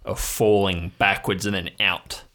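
A man's voice: low voice, little pitch movement, a little slow, sharp tone. A man narrating an improvised audio drama as game master, describing scenes atmospherically in the second person.

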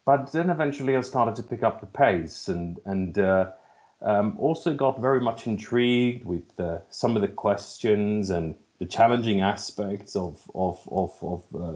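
Low-voiced, hesitant Finnish man being interviewed. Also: darkish tone. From Finland. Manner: hesitant, repeating words as he searches for phrasing. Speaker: podcast guest, logistics executive. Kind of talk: interview answers.

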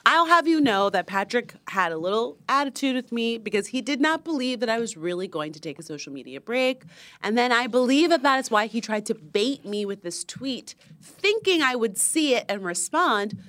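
A woman with a youthful, slightly high voice chats casually as a podcast co-host. She spins animated stories with playful mock indignance. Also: moderate pace. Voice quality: young-sounding voice. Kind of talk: casual chat.

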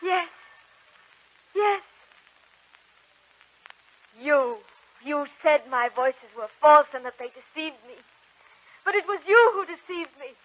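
An actress playing a devout young peasant heroine, anguished yet defiant, in a fervent old-time radio drama style. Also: female voice, high voice, animated, slow pace, long pauses, no room sound. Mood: fervent defiance. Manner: fervent, theatrical. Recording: dull old radio recording.

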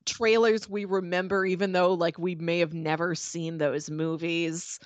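A woman's voice: lowish voice, little pitch movement, a little slow, no room sound. A woman co-hosting a podcast, chatting casually in a wry, amused way and laying out points in short sentences. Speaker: podcast co-host. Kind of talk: casual podcast chat and recap.

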